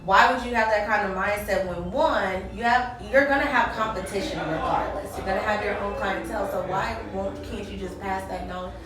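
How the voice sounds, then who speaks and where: A woman's voice: mid-range voice, normal speed, hardly any pauses, noisy background. Female interviewer, upbeat and affirming; echoey room, distant mic. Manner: energetic, affirming, addresses the audience. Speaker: interviewer, host. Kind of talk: casual interview. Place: loud party venue.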